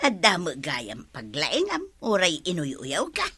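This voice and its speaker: A woman's voice: low voice, huge pitch swings, measured pace, pushed and bright, breathy edge, no echo, exaggerated voice. A woman with an Ilocano accent playing a mocking, doubtful wife who teases and scolds in a radio comedy drama. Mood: teasing.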